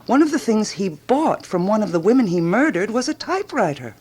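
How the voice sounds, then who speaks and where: A woman's voice: mid-range voice, very animated, a little fast, darkish tone, breathy edge. A woman playing a self-assured amateur detective of 1920s Paris in a radio drama, emphatic and theatrical. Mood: insistent.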